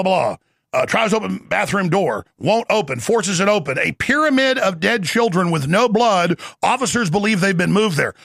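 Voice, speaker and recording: A man with a high, gravelly voice races through a long, intense rant. His tone is sharp and forceful, and the sound is close and dry, with no room around it. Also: dry recording. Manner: intense, rapid, rambling monologue. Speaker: show host. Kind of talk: ranting interview talk.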